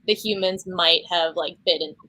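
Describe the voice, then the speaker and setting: A young woman co-hosting a live book chat, animated and quick to laugh. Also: on the low side, rough recording. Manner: animated, laughs easily, swings up and down. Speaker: book club co-host. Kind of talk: casual live book discussion.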